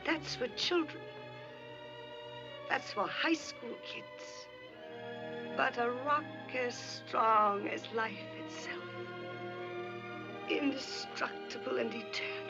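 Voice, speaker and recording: A world-weary married woman in a 1950s Hollywood melodrama. She speaks slowly and emphatically in a fairly high voice. Music swells strongly behind her on the old film soundtrack. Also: dull audio. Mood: fervent, resolute. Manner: deliberate, emphatic, dramatic delivery.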